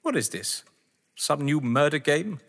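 An actor playing a proud, eloquent, solitary gentleman who relishes games, in a theatrical audio drama style. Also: a little high, expressive, unhurried, exaggerated voice. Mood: disbelieving. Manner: grandiloquent, theatrical. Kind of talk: full-cast audio drama acting of a stage play. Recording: long hall reverb.

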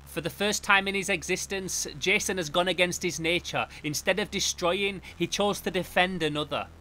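A man voicing a somber horror comic narrator in a hushed, grave manner. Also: high voice, normal speed, a little hiss or hum, rough recording. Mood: brooding.

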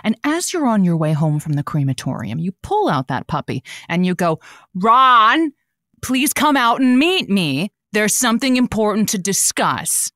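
A woman's voice: medium pitch, sing-song, normal speed, fairly bright tone, no room sound, clean studio sound. A woman playing a chirpy, earnest radio advice host in an audio drama, chatty and playful, hesitant when flustered. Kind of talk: semi-scripted audio drama, naturalistic.

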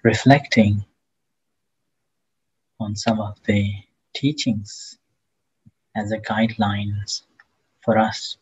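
Slow, husky man guiding meditation. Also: on the low side, level tone, highs cut off. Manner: gentle, halting, with long pauses between phrases. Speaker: meditation teacher. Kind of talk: guided meditation instruction.

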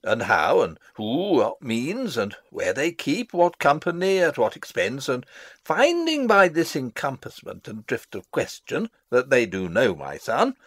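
A man's voice: medium pitch, big pitch swings, measured pace, fairly bright tone, no room sound. An actor playing a fussy, long-winded old father and royal counsellor in a theatrical Shakespeare reading, pompous and rambling. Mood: fussily instructing. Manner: rambling, pompous, theatrical, losing his thread. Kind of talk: dramatic reading of a Shakespeare play.

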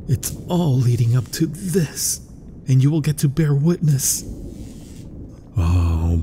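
A man playing an obsessed, grandiose mad scientist in a gothic horror ASMR roleplay, with florid, dramatic, often breathy delivery. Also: mid-range voice, warm tone. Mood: hushed anticipation. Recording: thunderstorm and rain noise. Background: rain and thunder ambience.

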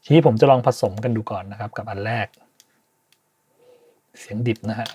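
A man hosting an informal software tutorial, speaking politely and hesitantly as he thinks aloud. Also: on the low side, normal speed, husky, no echo. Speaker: tutorial host, sound designer.

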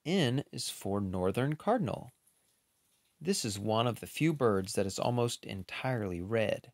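A man reading a children's picture book aloud in an expressive, unhurried way, with casual, playful asides. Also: on the low side, dry recording.

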